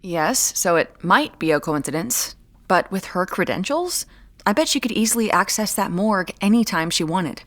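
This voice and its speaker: An actress plays a woman amateur detective in an audio drama. She is brisk and guarded, with a medium-pitched voice. Her delivery is curt, commanding and deadpan, edged with dry wit. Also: lightly acted. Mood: dry, matter-of-fact.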